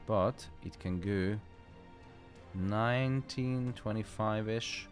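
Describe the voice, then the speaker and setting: A man's voice: lowish voice, takes it slowly, soft background music. A man hosting a live trading stream, chatting casually, swearing freely and explaining the market at length.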